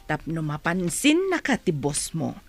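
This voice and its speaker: A woman's voice: sits low, huge pitch swings, measured pace, exaggerated voice. An actress playing a middle-aged, scheming mother in a radio drama, speaking in an insistent, coaxing way. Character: coaxing, scheming, defensive mother of grown daughter.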